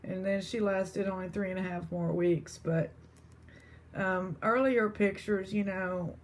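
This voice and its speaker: Woman, East Texas accent, casual vlog chat, rambling, lots of fillers, mid pitch. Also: fairly even intonation, measured pace, slight background noise. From East Texas, USA. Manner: rambling, with many fillers and restarts.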